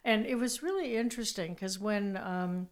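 A woman's voice: mid-range voice, normal speed. Conversational woman host. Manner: conversational, searches for words. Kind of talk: casual interview.

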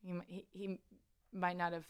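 A woman's voice: fairly low pitch, level tone, moderate pace, smooth. A woman guest musician chatting casually in a radio studio interview, laughing easily and poking fun at herself, with a little grit in her voice.

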